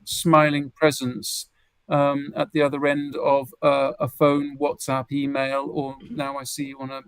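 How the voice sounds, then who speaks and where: A livestream guest chatting casually in a halting way, searching for words. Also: mid-range voice, monotone, rough recording.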